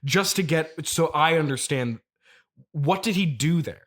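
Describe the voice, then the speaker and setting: A man's voice: fairly high pitch, lively intonation, a little slow, fairly bright tone. An American man hosting an improvised comedy interview, wryly repeating things back with mock seriousness. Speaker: podcast interviewer.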